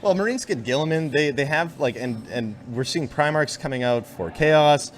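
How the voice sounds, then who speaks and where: A man's voice: on the high side, animated, moderate pace. A man co-hosting a gaming show, talking casually and rambling with lots of fillers. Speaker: podcast co-host.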